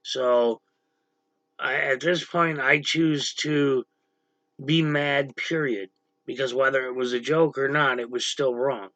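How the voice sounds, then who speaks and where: A man hosting a casual livestream with a gravelly voice, talking bluntly to viewers and snapping at chatters who annoy him. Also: mid-range voice, fairly even intonation, slow, a bit bright, dry recording, low-quality recording. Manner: blunt, irritated, snaps at chatters. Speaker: livestream host. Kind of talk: casual livestream chat reading viewer comments. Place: dim room at home, power out.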